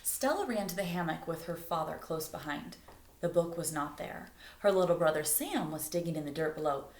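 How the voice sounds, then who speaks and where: A woman's voice: low voice, normal speed, a little hiss or hum. A woman reading a storybook aloud to children, stopping to put questions to them. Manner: engaging listeners with questions. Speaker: storybook reader. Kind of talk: animated read-aloud to children. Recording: echoey room.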